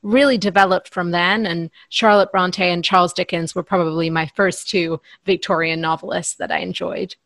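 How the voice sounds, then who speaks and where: A woman's voice: fairly low pitch, fairly even intonation, moderate pace, hardly any pauses, a bit bright, dry recording. A woman in her thirties, an author from Northern Ireland, answering interview questions in an articulate, earnest way with small restarts. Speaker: author guest. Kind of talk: podcast interview.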